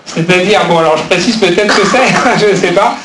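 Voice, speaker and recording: High-pitched guy moderating a panel, halting questions, echoey hall. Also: noisy background. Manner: halting, questioning, many fillers. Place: conference hall at a trade fair.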